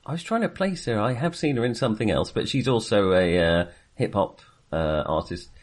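A man's voice: lowish voice, expressive, brisk, slight background noise. A man co-hosting a film podcast in casual discussion, hesitantly circling back and qualifying his points. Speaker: film review podcast co-host.

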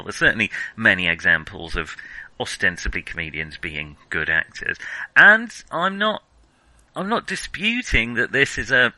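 A man co-hosting a casual film discussion, analytical and measured, often breaking off into hesitant asides. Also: lowish voice, bright, forceful tone, no echo, poor audio. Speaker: film podcast co-host.

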